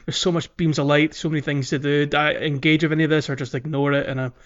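A man's voice: on the high side, level tone, fast, a bit bright. Man in dull lo-fi podcast chat. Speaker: podcast co-host. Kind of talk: casual chat.

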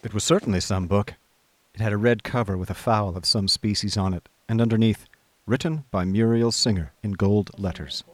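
An actor playing an idle, chummy young upper-class gentleman, narrating a radio drama in breezy, wordy old-fashioned slang. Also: on the low side, normal speed, lightly acted. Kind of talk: scripted first-person radio drama narration. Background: music.

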